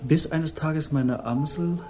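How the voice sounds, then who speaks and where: Solemn hippie guru over sitar. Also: male voice, fairly high pitch, takes it slowly. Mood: solemn, pretentious. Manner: solemn, serene, pretentious. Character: solemn, dreamy male hippie guru and would-be poet. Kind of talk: 1960s German radio drama acting. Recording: prominent sitar music behind. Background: sitar music.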